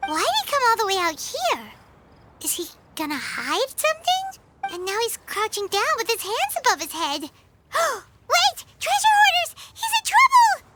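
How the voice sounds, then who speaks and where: A tiny, nosy fairy sidekick in a game, squeaking at an extremely high, wildly bouncing pitch. The voice is childlike and excitable, with loud music behind. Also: female voice, a little slow, full character voice. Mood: startled, excitable. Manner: squeaky, exclamatory, talks about self in third person. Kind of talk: video game cutscene acting.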